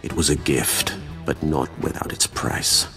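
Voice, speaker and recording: An actor playing an ancient, proud Sith lord in a video game, speaking in a formal, solemn and disdainful manner. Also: male voice, extremely low pitch, steady tone, a little slow, sharp tone, soft background music, heavily acted. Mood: reflective. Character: ancient, grim, proud Sith lord, three centuries old. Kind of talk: dramatic video game voice acting.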